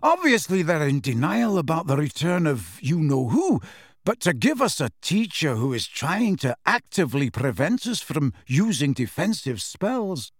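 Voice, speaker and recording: A man's voice: a little high, sing-song, moderate pace, husky, no echo, studio quality, voice pushed up. A man voicing a pompous, self-important teenage schoolboy who declaims his opinions in a storybook reading. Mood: pompous, indignant.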